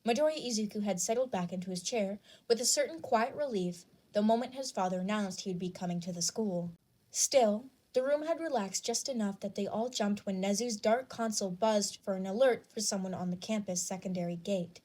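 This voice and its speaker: A woman's voice: mid-range voice, fairly quick, warm tone. A woman reading scripted fan fiction as the narrator.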